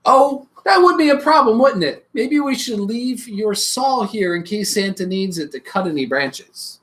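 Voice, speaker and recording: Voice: medium pitch, expressive, unhurried, fairly bright tone. A storybook reader playing a loving, imaginative mother who answers in a reassuring, playful way.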